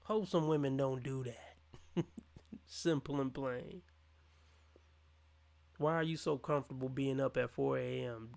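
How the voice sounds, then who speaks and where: A man's voice: mid-range voice, a little slow, vocal fry, pauses often, a little mellow. A man reacting on a livestream to a dating show, mocking and scornful as he rants over the clip. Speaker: livestream commentator. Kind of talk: reaction commentary.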